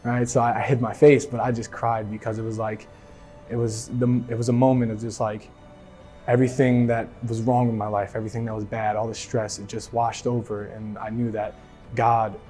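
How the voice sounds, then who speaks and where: Mellow-voiced teen guy from Philly. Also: lowish voice, level tone, soft background music. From Philadelphia. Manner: halting, many "like" and "right?" fillers. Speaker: athlete sharing testimony. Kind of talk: casual personal testimony.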